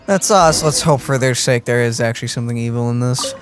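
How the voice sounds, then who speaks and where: A man's voice: mid-range voice, brisk, background noise. A man giving casual, wry and sarcastic let's play commentary as he games. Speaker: gaming channel host.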